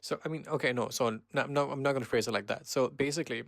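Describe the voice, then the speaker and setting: A man in his twenties from Pakistan, hosting a casual solo podcast and rambling with many pauses and self-corrections. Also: mid-range voice, gravelly, no echo, clean studio sound. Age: 20s. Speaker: podcast host.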